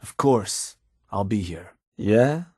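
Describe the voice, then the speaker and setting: A raider gang's fixer in a video game, low-voiced and rough with husk. He talks like a wry, streetwise middleman briefing his boss, easygoing but all business. The sound is close and dry. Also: expressive, takes it slowly, a little mellow, no echo. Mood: matter-of-fact, wry. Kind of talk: in-game character dialogue, conversational delivery.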